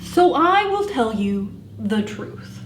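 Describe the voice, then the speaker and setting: A woman voicing a gentle, hesitant elephant in a picture-book read-aloud, halting and tactful with a friend. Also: on the high side, sing-song, pitched below the performer's own voice. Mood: gentle, honest. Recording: echoey room with faint background noise.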